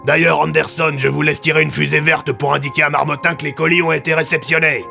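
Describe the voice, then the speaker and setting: A man's voice: on the high side, flat delivery, fast talker, gravelly, pushed and bright, soft background music, pitched above the performer's own voice, exaggerated voice. A man voicing a brusque, commanding army colonel who gives clipped military orders in an audio drama. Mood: firm command.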